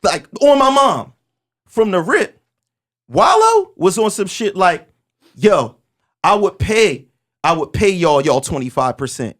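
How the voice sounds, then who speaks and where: A man in his forties with a fairly high voice, holding court on a casual podcast. He talks with emphatic, animated energy, leans on street slang and stumbles into quick restarts before driving his point home. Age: 40s. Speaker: podcast host.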